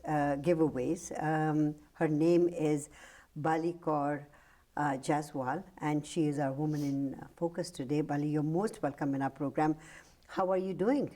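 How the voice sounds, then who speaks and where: Deep-voiced lady host in a studio, mellow, chatty, lots of yeahs and rights. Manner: chatty, frequent affirmations. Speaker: talk show host. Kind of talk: studio interview.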